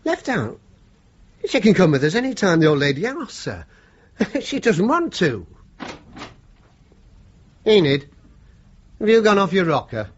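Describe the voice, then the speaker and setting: A middle-aged British working man, kindly and plain-spoken, a chauffeur from a radio drama. His voice is husky and moves freely up and down, on a slightly muffled recording. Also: high voice, very animated, a little slow, pauses often, exaggerated voice. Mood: indignant.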